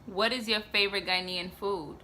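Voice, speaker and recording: A woman's voice: mid-range voice, fairly even intonation, normal speed, bright, forceful tone, a little hiss or hum. A woman co-hosting a vlog, asking short, easygoing interview questions off camera. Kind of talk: casual interview.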